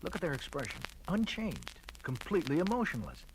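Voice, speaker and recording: An actor playing an earnest, peaceable astronaut seeking refuge in a 1970s audio adventure, speaking directly. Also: male voice, on the high side, huge pitch swings, a little fast. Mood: uneasy. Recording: noisy, clicking old vinyl record.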